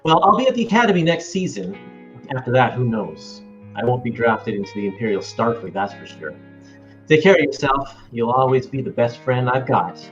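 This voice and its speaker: An eager, frustrated farm boy acted out by a man at a table read, boyish and excitable. The pitch swings widely from line to line. The sound is slightly dull and lo-fi, with faint music behind it. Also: moderate pace, natural voice. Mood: resigned, earnest. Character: restless young farm boy, eager but stuck at home. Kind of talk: amateur table read of a film script.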